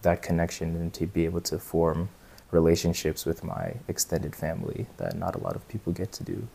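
Brief: young man, very low voice, relaxed and reflective interview answers, from Colorado. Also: a little fast, gravelly, slight background noise. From United States, Colorado. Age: young adult. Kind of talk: casual conversation.

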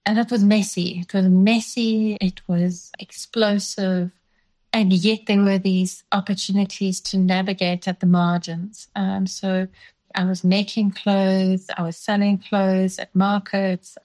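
A woman's voice: medium pitch, level tone, a little slow, a little mellow. A woman in her fifties from South Africa, raised speaking Dutch, recalling her past thoughtfully in an interview with frequent hedges and restarts. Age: 50s. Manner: thoughtful, many fillers and restarts. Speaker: guest. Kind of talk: reflective interview.

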